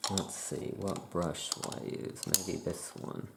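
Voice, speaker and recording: Slow, low, creaky soft-spoken ASMR voice. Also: fairly even intonation, roomy sound, noisy background. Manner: soft-spoken, musing aloud to self. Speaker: ASMR creator playing a witch shopkeeper.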